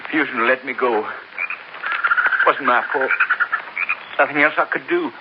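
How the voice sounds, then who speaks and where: An actor playing a cornered, desperate killer in a 1950s radio drama, pleading and self-justifying. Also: male voice, mid-range voice, normal speed, lo-fi sound, natural voice. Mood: self-pitying. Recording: hissy old radio broadcast.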